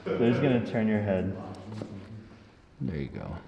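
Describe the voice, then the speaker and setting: Low-voiced male chiropractor in echoey room. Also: expressive, a little slow, vocal fry, muffled recording, a crowd in the background. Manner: gives short step-by-step directions and reassurance. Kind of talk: casual hands-on patient instruction. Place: indoor treatment room. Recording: echoey room with faint background noise.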